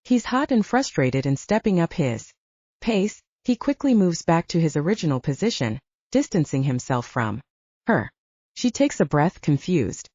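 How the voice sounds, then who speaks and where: A woman narrates dramatic fiction with a mellow, lowish voice. Her pitch moves around a lot as she tells the story. The sound is dry and slightly muffled. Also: sing-song, normal speed, husky, no echo. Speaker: audiobook narrator.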